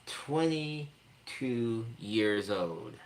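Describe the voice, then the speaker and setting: A man's voice: mid-range voice, sing-song, very slow, slight background noise. A man hosting a casual livestream, ranting with lots of repetition and stumbling restarts. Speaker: streamer host.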